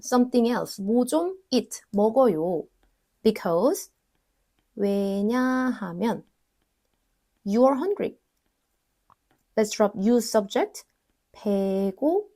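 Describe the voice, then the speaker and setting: Young korean woman teaching grammar in english, higher voice, goes over example words slowly and repeats them. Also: warm tone. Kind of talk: explaining grammar in a casual online lesson.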